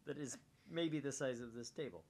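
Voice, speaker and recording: A man's voice: medium pitch, moderate pace, gravelly, a little mellow. A man hosting a gaming channel, reading aloud expressively and dropping in casual asides.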